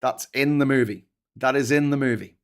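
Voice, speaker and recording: A man's voice: medium pitch, moderate pace. A man hosting a casual morning livestream, reading comments aloud with sarcastic, rambling asides. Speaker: host.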